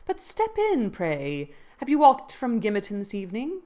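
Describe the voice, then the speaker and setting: A woman voicing an old housekeeper who talks in a brisk, fussing, exclaiming way. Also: medium pitch, huge pitch swings, dark tone, pitched above the performer's own voice. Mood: surprised.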